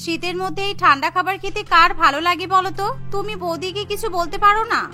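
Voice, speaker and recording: A woman's voice: extremely high pitch, fast talker, pushed and bright, full character voice. A woman voicing a whiny, demanding young college girl in a cartoon. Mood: complaining. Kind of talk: Bengali cartoon voice acting. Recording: music behind the voice.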